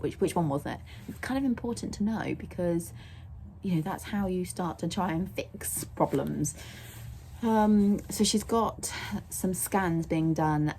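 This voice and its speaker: A young woman with a croaky voice, chatting casually in a rambling way as she vlogs about her horse. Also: low-pitched, normal speed, mellow, slight background noise. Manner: chatty and rambling, laughs often. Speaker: vlogger, horse owner. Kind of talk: casual vlog chat. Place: outdoors at a stable yard, then in a car.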